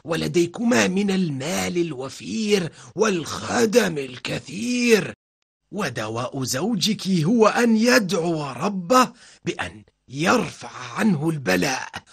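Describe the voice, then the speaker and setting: A man voicing a sly Satan disguised as a man in a cartoon, coaxing with a raspy, creaky, slow, drawn-out delivery. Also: sits high, very animated, a bit bright, exaggerated voice. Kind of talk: dramatic cartoon voice acting.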